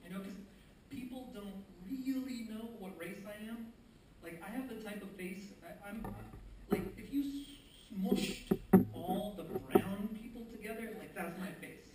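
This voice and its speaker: Soft-spoken young comic, echoey stage. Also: male voice, sits high, measured pace. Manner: soft-spoken, hesitant, self-deprecating. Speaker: stand-up comedian. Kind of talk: stand-up set. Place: theater stage. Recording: echoey theater, distant mic, crowd noise.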